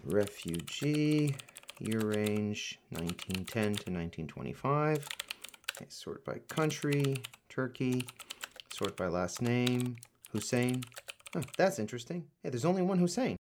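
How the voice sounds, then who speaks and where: Guy playing a wry businesslike govt official in a radio play, normal pitched male voice. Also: lively intonation, measured pace, a little mellow, a little hiss or hum. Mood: searching, matter-of-fact.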